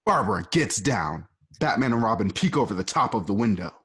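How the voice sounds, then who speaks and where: Narrator: man, husky, lively pitch, casually reads stage directions with loose asides. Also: brisk. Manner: casual, animated, adds asides. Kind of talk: radio play narration.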